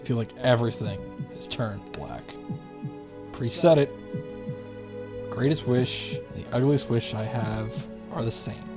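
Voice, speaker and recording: A man's voice: mid-range voice, measured pace, pauses often. A man playing a visual novel, reading its story text aloud and reacting as he goes. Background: game music.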